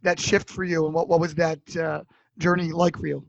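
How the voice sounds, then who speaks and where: A man's voice: fairly high pitch, level tone, moderate pace, creaky, dry recording, lo-fi sound. A man, a rabbi, hosting a podcast interview in a friendly manner with casual fillers.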